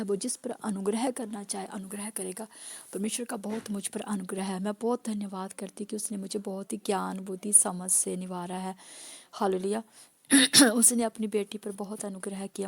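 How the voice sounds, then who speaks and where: A woman pastor preaching a sermon with a hoarse voice, driving points home through repeated phrases and calls of hallelujah. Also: mid-range voice, flat delivery, normal speed.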